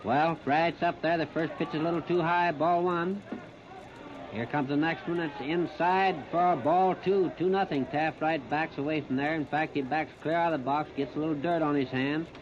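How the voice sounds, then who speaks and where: A man's voice: fairly high pitch, steady tone, moderate pace. An American man calls live baseball play-by-play on the radio in short, plain-spoken, folksy phrases. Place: outdoor ballpark. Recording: noisy, muffled 1930s radio broadcast recording.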